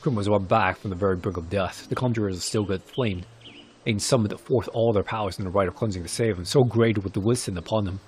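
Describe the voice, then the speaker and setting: A man voicing a weary, solemn old village elder who is gentle with children, speaking in grave, archaic phrasing as in fantasy game cutscenes. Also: on the low side, brisk, close to an everyday voice. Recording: clear background noise.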